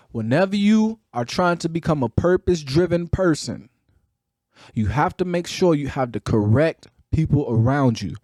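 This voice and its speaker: A man with a Chicago accent and a somewhat high-pitched voice speaks as a motivational podcast host. His delivery is colloquial and emphatic, close to preaching, with repeated phrases driven home. The sound is dry, with very little room echo. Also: a little mellow. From Chicago, United States. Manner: emphatic, repetitive, colloquial, drawing out key words.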